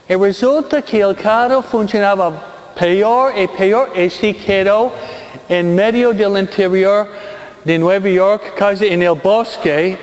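High-pitched, slow-preaching man in his sixties. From Michigan, United States. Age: 60s. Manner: deliberate, repeats phrases for emphasis. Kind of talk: sermon with personal anecdote. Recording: dull sound in long-reverberant hall.

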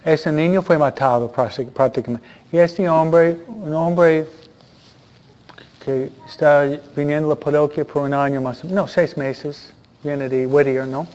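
Priest, earnest preacher, fairly high voice, muffled lo-fi recording. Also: male voice, steady tone, a little slow, a little mellow. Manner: earnest, preaching with repeated pleas and rhetorical questions. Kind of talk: sermon. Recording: dull lo-fi sound.

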